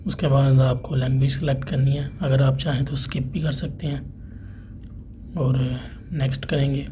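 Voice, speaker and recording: A man's voice: mid-range voice, little pitch movement, moderate pace, warm tone. A man giving a plain, unscripted, step-by-step hands-on tech tutorial as an installer. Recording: clearly noisy room ambience.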